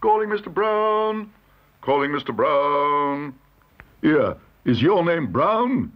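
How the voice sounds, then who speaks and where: A man voicing a brisk, colloquial court usher in a children's storybook reading. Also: a little high, very animated, breathy edge, highs cut off, pitched above the performer's own voice. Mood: brisk, impatient.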